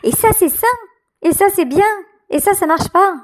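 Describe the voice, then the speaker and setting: A woman giving an unscripted tarot reading directly to the listener, halting and repeating her phrases. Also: very high-pitched.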